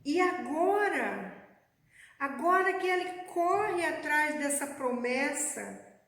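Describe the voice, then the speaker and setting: High-voiced woman preaching in an echoey hall. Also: animated, a bit bright. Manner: slow, measured preaching, addressing the congregation as beloved. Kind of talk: sermon. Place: church.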